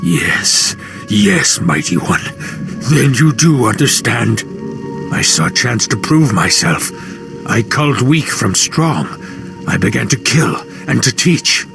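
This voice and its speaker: A fanatical leader of escaped slaves in a video game. He declaims slowly and reverently in a creaky, gravelly voice, worshipful yet violent. Music plays behind him. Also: medium pitch, very animated, heavily acted. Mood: fervent, reverent. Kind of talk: dramatic video game acting.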